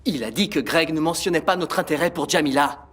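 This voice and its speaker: A man's voice: a little high, fairly quick, fairly bright tone, slightly rough. An actor playing a persistent, anxious record label man in a French dub.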